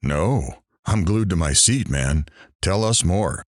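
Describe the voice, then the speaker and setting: A man's voice: deep voice, lively intonation, slow pace, no echo, studio quality, full character voice. A man playing an irreverent radio talk show host in an audio drama, blunt, teasing and informal. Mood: engrossed, amused.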